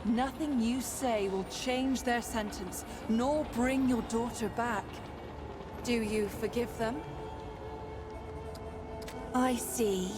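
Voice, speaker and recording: A woman playing an ethereal, playful and probing seer spirit in a fantasy game, speaking in a deliberate, mysterious, riddling way. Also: a little high. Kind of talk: theatrical fantasy game dialogue. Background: music.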